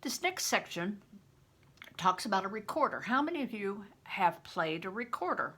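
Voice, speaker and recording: An older woman reading a story aloud in a deliberate, dramatic, teacherly way, with chatty explanatory asides. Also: mid-range voice, big pitch swings, bright, forceful tone, husky.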